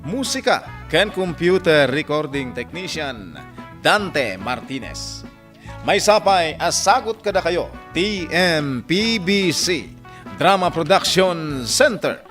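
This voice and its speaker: A man's voice: on the high side, very animated, fairly bright tone, soft background music. A man with a northern Philippine accent, announcing a radio drama and its credits in a declamatory manner. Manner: slow, declamatory.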